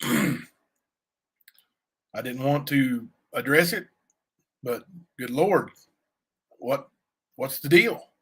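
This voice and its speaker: A man with a raspy voice, chatting loosely on a livestream and answering viewers, full of fillers and asides. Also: medium pitch, expressive, extremely slow, long pauses, a bit bright. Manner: rambling, many fillers and asides to chat. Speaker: livestream cohost; youth basketball coach. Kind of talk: casual livestream chat.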